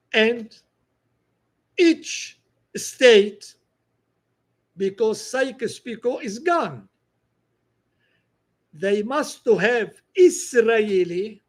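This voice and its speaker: A man from northern Lebanon with a Lebanese accent, giving a political monologue in broken English with some French phrases. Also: very high voice.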